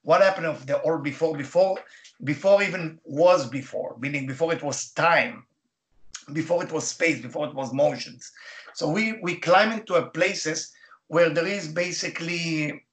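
A man from Israel with an Israeli accent, a Hebrew speaker talking in halting English with many fillers as a guest on a radio interview. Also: on the high side, fairly bright tone. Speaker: guest, Kabbalah teacher.

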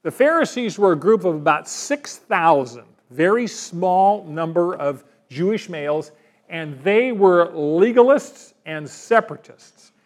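A man's voice: sits high, expressive, a little slow. A man preaching a sermon in an emphatic way, often asking the listeners rhetorical questions. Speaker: pastor.

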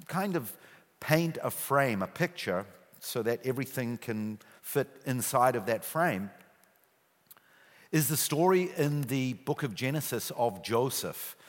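A middle-aged man with a New Zealand accent, a guest speaker telling his story in a relaxed, joking way with many fillers. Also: medium pitch. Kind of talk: church talk. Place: church hall. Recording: reverberant church hall.

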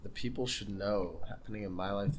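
A man guests on a podcast, talking softly and hesitantly. His voice is a little low, and he sounds unsure of what to share. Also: fairly quick. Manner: soft-spoken, hesitant. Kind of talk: casual chat.

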